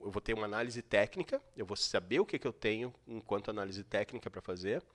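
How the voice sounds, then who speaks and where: A man explaining his work as a guest, reflective and asking rhetorical questions. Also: medium pitch. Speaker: guest expert. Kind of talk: explanatory interview talk.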